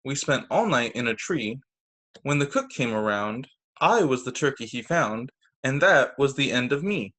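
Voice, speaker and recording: A man teaching young children through a read-aloud storytime on a video call, with expressive, deliberate phrasing. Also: medium pitch. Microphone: video call microphone.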